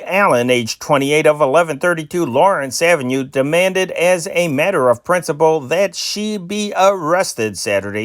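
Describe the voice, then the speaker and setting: A man's voice: a little high, a bit bright, dry recording, studio quality. A man reading old newspaper stories aloud as an amateur news reader, stumbling and correcting himself now and then.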